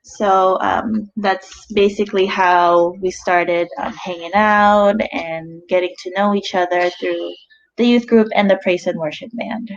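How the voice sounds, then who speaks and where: A young woman from the Philippines, answering interview questions in a soft, hesitant way with many ums. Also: medium pitch, fairly even intonation, measured pace. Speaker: guest. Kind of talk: casual interview chat. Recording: slightly dull audio.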